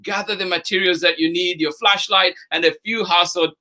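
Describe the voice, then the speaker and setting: Man, high-pitched, very bright forceful voice, effusive livestream host, greeting audience by name. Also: steady tone, a little fast. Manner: exuberant, effusive. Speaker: host of a children's story time livestream. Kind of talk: live greetings to viewers by name.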